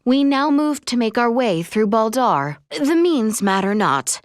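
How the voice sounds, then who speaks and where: A woman voicing an earnest, dutiful young royal heroine in a video game, speaking in formal, archaic, measured phrases. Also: a little high, sing-song, dry recording, clean studio sound. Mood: solemn, resolute. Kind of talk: video game character voice acting.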